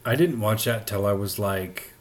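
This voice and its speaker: A man's voice: fairly low pitch, normal speed. An American man in his late thirties, speaking frankly in laid-back casual chat. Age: 30s. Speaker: podcast participant. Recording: faint background noise.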